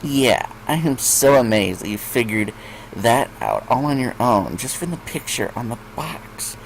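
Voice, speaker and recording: A man voicing a bratty older sister pony who teases with deadpan sarcasm in a story reading. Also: mid-range voice, a little slow, creaky, a little hiss or hum, low-quality recording. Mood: mocking, sarcastic. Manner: sneering, sarcastic, deadpan teasing. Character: mocking, bratty older sister unicorn filly.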